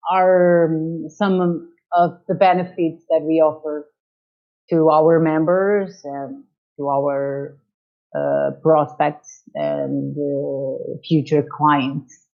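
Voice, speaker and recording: A woman from Portugal speaking English with a Portuguese accent, slowly searching for words as she explains her chamber of commerce in an interview over a video call. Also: low-pitched, fairly even intonation, vocal fry. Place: in Lisbon. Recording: dull lo-fi call audio.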